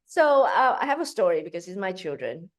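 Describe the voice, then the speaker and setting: A woman from Malaysia speaking in an interview as a guest. Her talk is animated and full of fillers and restarts. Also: lowish voice, a little fast. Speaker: guest, founder of a kids' cooking program.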